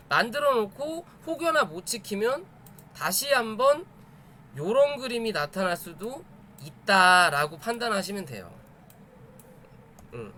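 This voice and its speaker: High-pitched, animated man teaching. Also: slight background noise. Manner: emphatic, repeats phrases, asks rhetorical check questions. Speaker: host of a stock chart study stream. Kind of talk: informal live lesson, explaining stock charts.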